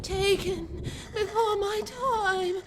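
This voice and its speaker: A woman playing the reproachful ghost of a drowned young girl in a radio play, pleading in an anguished, drawn-out way. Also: very high voice, a crowd in the background, full character voice. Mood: anguished, accusing.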